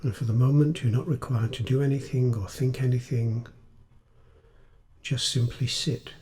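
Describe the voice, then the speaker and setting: Mellow-voiced man guiding meditation. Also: medium pitch, steady tone. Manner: gentle, soothing, unhurried delivery with pauses. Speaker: meditation teacher. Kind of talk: guided meditation.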